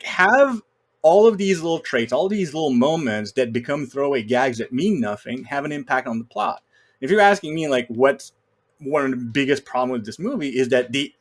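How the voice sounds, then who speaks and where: A man giving a casual, opinionated film critique, arguing his points emphatically. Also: medium pitch. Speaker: film podcast co-host or guest.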